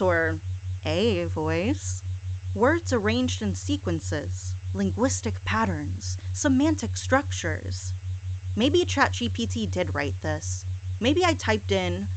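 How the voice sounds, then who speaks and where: A woman delivering a scripted monologue in a sarcastic, deliberate manner, with repetitive phrasing. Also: medium pitch, poor audio. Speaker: hypnosis audio performer. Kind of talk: scripted monologue, mock apology.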